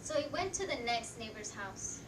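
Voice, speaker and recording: A woman's voice: a little high, lively intonation, normal speed. A teenage girl narrating theatrically as a student stage performer. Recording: echoey room, distant mic, audience noise.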